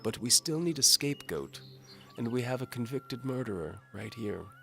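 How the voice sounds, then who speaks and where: A man's voice: mid-range voice, expressive, normal speed, gravelly, soft background music, voice pushed up. A man playing a smug, calculating male official in an audio drama. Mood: scheming. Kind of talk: audio drama character acting.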